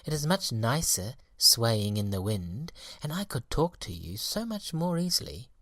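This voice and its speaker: A man's voice: mid-range voice, sing-song, unhurried, sharp tone, dry recording, studio quality, exaggerated voice. Man reading as an eager little flower girl. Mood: friendly. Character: restless, eager little female daffodil baby, sweetly friendly.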